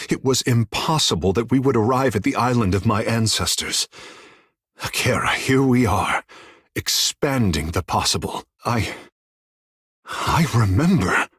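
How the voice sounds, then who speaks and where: A man's voice: medium pitch, a bit bright, breathy edge, dry recording, studio quality. An actor playing a vain, theatrical, flirtatious godlike fish-man in a fantasy video game, speaking in lofty, formal phrases. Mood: exultant. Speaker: player's companion character.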